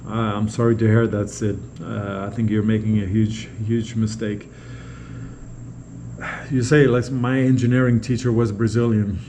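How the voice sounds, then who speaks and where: A man's voice: lowish voice, flat delivery, moderate pace, warm tone, slight background noise. A man from Norway, a native Norwegian speaker, talking casually and unscripted as a vlogger, rambling and repeating words as he goes. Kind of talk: casual unscripted vlog replies to viewer comments.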